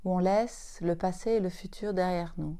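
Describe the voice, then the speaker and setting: A woman leading a guided yoga nidra meditation, speaking soothingly in short, unhurried phrases with long pauses. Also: fairly low pitch, steady tone, mellow, smooth.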